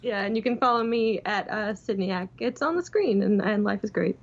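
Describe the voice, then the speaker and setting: A woman's voice: mid-range voice, brisk, vocal fry. A young woman chatting casually as a guest calling in to a show, on dull lo-fi audio. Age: young adult. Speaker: interview guest. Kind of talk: casual chat.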